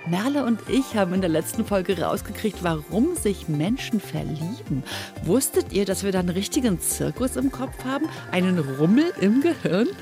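A woman hosting for children, delivering an upbeat scripted podcast promo straight to young listeners. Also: mid-range voice. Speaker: podcast host. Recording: music behind the voice.

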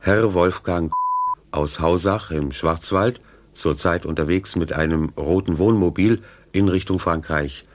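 A man's voice: sits low, a little slow. A man reading a formal public announcement on the radio. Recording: archive radio recording, faint noise, clicks.

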